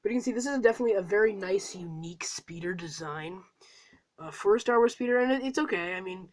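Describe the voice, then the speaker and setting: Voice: mid-range voice, quick pace. Rambling young reviewer with a cold. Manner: rambling, full of fillers and restarts. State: has a cold. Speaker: toy set reviewer. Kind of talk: casual product review.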